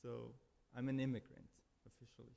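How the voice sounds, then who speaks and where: A man's voice: medium pitch, level tone, brisk, gravelly, warm tone. A man from Iran, speaking as a guest musician at a service, hesitant with many fillers and restarts. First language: Persian. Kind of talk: casual spoken introduction. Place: church sanctuary. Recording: reverberant hall.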